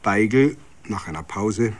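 A man's voice: fairly low pitch, animated, a little slow. A man with a Bavarian accent reads a literary story as a dramatic narrator for radio. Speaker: story reader.

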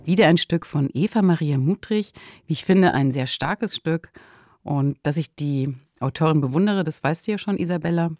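A woman's voice: sits low, normal speed, a little mellow. A woman co-hosting a podcast, giving conversational commentary on radio drama into a close studio mic. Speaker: podcast co-host.

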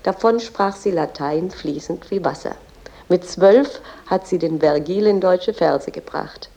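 A female tour guide in a radio drama. Her voice is low and soft-edged, dark rather than bright. She explains earnestly and reverently, hesitating and correcting herself as she goes. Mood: earnest, explanatory. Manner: explanatory, halting with small slips and self-corrections. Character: female museum guide, earnest and reverent about a poet's family.

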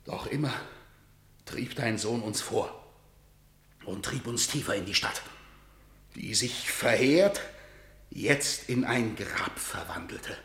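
Messenger of defeat: unhurried, solemn verse, roomy room. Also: male voice, fairly low pitch, lively intonation, fairly bright tone, full character voice. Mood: grave. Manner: urgent, grave declamation. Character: male messenger bringing news of defeat. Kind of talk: classical verse radio drama. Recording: roomy studio echo.

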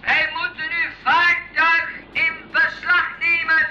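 A commanding naval officer in a radio drama, hailing through a megaphone. His delivery is slow, formal and monotone, with a piercing, echoing sound. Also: extremely high pitch, exaggerated voice. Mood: stern, official. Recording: dull, echoing megaphone sound, faint noise.

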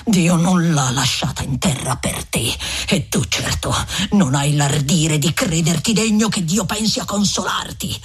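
A reader voicing a stern, righteous old friar with a husky voice, solemn and admonishing, in a dramatic audiobook. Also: mid-range voice, measured pace, faint music behind. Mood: bitter reproach.

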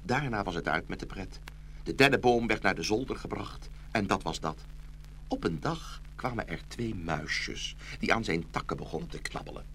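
A man narrating a children's fairy tale in an expressive scripted storytelling style. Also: on the low side, moderate pace. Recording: faint background noise.

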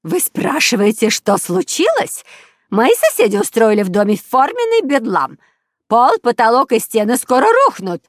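A woman voicing a furious, fussy older female neighbour in a children's storybook, loud and indignant. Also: fairly high pitch, huge pitch swings, moderate pace, no room sound, clean studio sound, pitched above the performer's own voice. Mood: furious, shouting. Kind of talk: children's audio storybook character voice.

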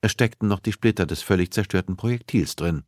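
A man's voice: deep voice, a little fast, darkish tone, dry recording, studio quality. A man reading a thriller novel aloud as scripted audiobook narration.

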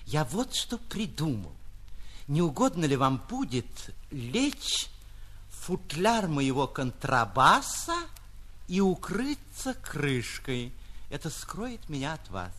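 A male actor plays a vain, courtly musician from a radio storybook. He speaks with elaborate, imploring politeness, his pitch swinging widely as he pays his florid courtesies. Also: huge pitch swings, slow pace, fairly bright tone, full character voice. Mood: pleading courtesy. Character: pompous, gallant, self-satisfied male double-bass musician.

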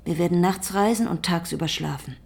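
An actress playing a determined farm woman in a radio play, decisive and urging, in short sentences with a husky, breathy voice. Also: fairly low pitch, brisk, a little mellow. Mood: urgent, resolute. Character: determined, urgent farm woman planning secret escape. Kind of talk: German radio play acting.

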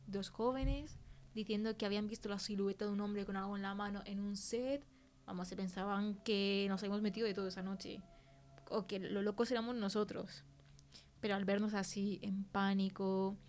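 A woman's voice: mid-range voice, natural voice. An actress playing a shaken young film-crew woman telling an eerie story in colloquial Castilian Spanish, in a chatty, teasing way. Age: young adult. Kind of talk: conversational interview in an audio fiction podcast.

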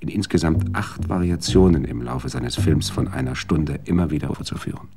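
A man narrating a radio drama in a dry, measured storytelling manner. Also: rumbling low, creaky, dark tone.

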